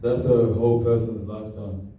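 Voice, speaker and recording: A young man born in Kenya and raised in Australia tells his family's refugee story as a halting, plainspoken presentation. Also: on the low side, steady tone, dull audio. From born in Kenya to South Sudanese parents, raised in Australia. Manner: halting, restarts often, plainspoken. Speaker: student presenter. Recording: very echoey hall from far off.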